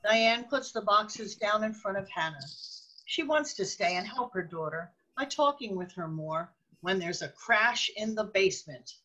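A woman's voice: medium pitch, expressive, a little slow, bright, forceful tone, lo-fi sound, faint music behind. A woman reading scripted narration for a radio drama, describing scenes as the narrator.

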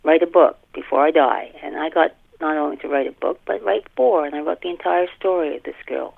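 A Canadian woman in her fifties chatting casually as an interview guest, animated and self-deprecating as she tells stories. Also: low voice, a little fast, creaky, slightly rough, no room sound. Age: 50s. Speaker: fantasy author, podcast guest. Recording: tinny telephone-like line.

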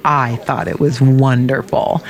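An actress playing a genteel, gushing small-town widow in old-time radio drama. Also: female voice, rumbling low, fairly even intonation, a little slow. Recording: noisy old radio recording, slightly distant.

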